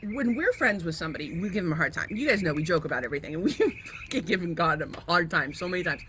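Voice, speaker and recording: A woman telling rambling, profane stories on a chat podcast, laughing through them. Her voice is bright and swings widely in pitch. A faint hiss of noise sits under the recording. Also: quick pace. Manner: animated storyteller, laughs often, swears freely. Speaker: podcast co-host. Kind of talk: casual chat.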